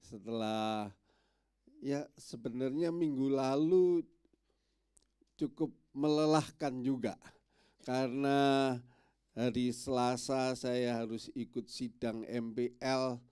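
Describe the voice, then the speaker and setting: A man's voice: mid-range voice, fairly even intonation, slow pace, pauses often, mellow, smooth, no room sound, clean studio sound. A male pastor preaching to his congregation, his voice stuffy and nasal from a cold, with many small filler yeses between phrases. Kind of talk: sermon. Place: church.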